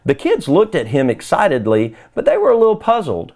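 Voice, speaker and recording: A man's voice: high voice, wildly swooping pitch, a little fast, gravelly, darkish tone. A man reading a children's story aloud as scripted narration. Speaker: storybook reader.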